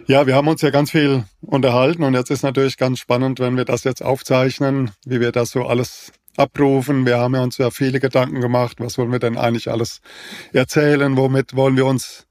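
A man in his fifties, a naturopath co-hosting a podcast, explaining in a measured, even way with frequent fillers. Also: mid-range voice, no echo, clean studio sound. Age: 50s. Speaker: naturopath and co-host. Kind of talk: casual podcast conversation.

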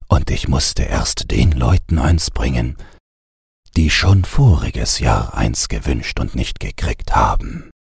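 A man voicing a kindly, apologetic Father Christmas with a gentle, measured delivery in a storybook reading. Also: extremely low pitch, big pitch swings, creaky, husky, no echo, studio quality, voice pushed down, exaggerated voice.

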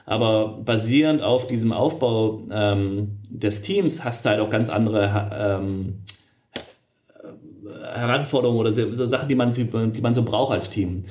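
A man's voice: fairly low pitch, measured pace, a little mellow. A middle-aged man, a game developer being interviewed, talking casually and hesitantly with many fillers and restarts.

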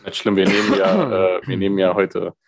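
Low-voiced chatty podcast host. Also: male voice, flat delivery, normal speed, no echo. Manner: chatty, explanatory, many fillers. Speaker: podcast host asking questions. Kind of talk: casual political talk. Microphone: remote recording.

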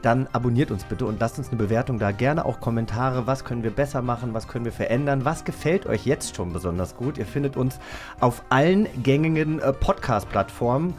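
A man's voice: mid-range voice, a little fast, a little mellow, soft background music. A man speaking informally and enthusiastically as a co-host in a casual podcast chat.

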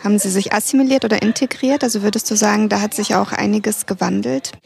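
A woman's voice: medium pitch, quick pace, vocal fry, darkish tone. A woman presenting and asking interview questions in a prepared, scripted way.

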